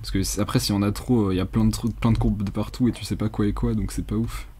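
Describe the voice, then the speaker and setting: A young man with a soft, dark and somewhat husky voice walks through software in a screencast. His pitch sits fairly low. He talks casually and unscripted, hesitating and rambling in a colloquial, self-deprecating way. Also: fairly even intonation, fast. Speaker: tutorial presenter.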